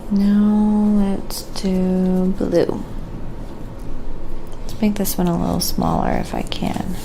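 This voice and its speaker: A woman narrates her painting casually to a live audience of viewers, thinking aloud one step at a time. Her delivery is very unhurried, with a fair amount of vocal fry. Clear background noise sits behind her voice. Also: mid-range voice, level tone. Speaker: live-streaming painter, host.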